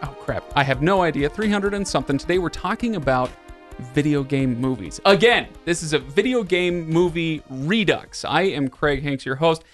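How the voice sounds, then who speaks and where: A man's voice: fairly high pitch, expressive, moderate pace, faint music behind. A man hosting a casual podcast chat, with a joking, teasing manner. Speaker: podcast host.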